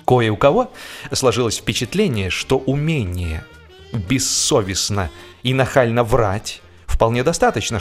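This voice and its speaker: A man giving a theatrical, humorous literary narration with expressive, ironic delivery and deliberate pauses. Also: medium pitch. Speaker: audiobook narrator and actor.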